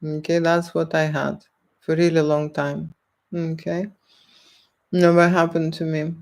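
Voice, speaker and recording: A woman speaks English with a Lithuanian accent, taking her time with each thought. She is a photographer fielding questions from an interviewer. She often pauses to check in with a quick "okay?". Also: sits low, level tone, a little mellow. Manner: deliberate, keeps checking in with "okay?". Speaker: erotic photographer. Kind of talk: street interview answers.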